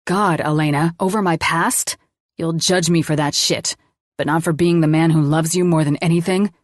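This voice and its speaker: A woman's voice: fairly even intonation, moderate pace, dry recording, clean studio sound, close to an everyday voice. Woman voicing a furious young male doctor. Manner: growling, swearing, lowered voice. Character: heated, wounded young male doctor lover.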